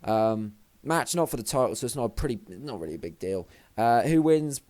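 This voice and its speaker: Slightly hoarse, rambling young man. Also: mid-range voice, lively intonation, brisk, a little mellow. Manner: rambling, sweary, self-deprecating. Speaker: wrestling show previewer. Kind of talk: casual vlog commentary.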